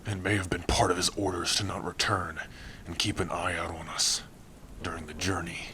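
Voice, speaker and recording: A man plays a low-voiced male orc, gruff yet tender, in an ASMR roleplay. He speaks softly and hesitantly in an even, unhurried line with a forward, bright edge, over some background hiss. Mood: watchful, concerned. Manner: soft-spoken, hesitant, with pauses and hums. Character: gruff but tender young male orc, shyly smitten. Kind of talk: ASMR roleplay addressed to the listener.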